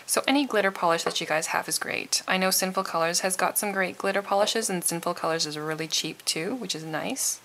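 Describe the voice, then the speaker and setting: A woman's voice: fairly low pitch, fairly quick, few pauses, fairly bright tone, a little hiss or hum. A woman giving a casual, chatty tutorial voice-over, sounding a little tired and froggy. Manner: informal, chatty. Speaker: nail art tutorial host.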